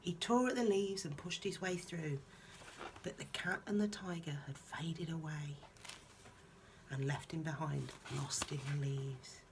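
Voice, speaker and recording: A woman reading a children's picture book aloud in a soft-spoken, musing way, with informal, chatty asides. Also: low voice, a little slow, noisy background.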